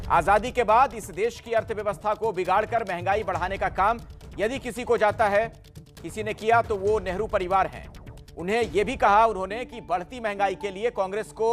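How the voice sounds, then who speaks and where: High-pitched male TV news anchor over music. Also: a bit bright. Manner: emphatic, rhetorical. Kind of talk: Hindi TV news presenting.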